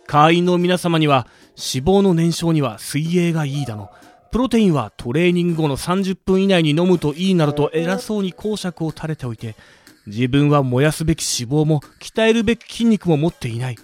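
A man's voice: on the high side, lively intonation, a little fast, lightly acted. A man playing a pompous but easily cowed skeleton gym manager in a Japanese radio drama. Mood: stern, brooding. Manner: lecturing, self-deprecating, apologetic. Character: skeleton gym manager, pompous yet meek when scolded. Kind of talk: Japanese audio drama acting.

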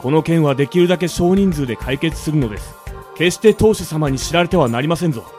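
A man acts out a worried, stern household butler in a radio play. His voice sits a little high, and he talks in stiff, old-fashioned courtesies. Soft music plays behind him. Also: expressive, quick pace, natural voice. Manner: stiff, archaic, very polite speech. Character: formal, stern, worried elderly male butler. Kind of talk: radio drama acting. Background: background music.

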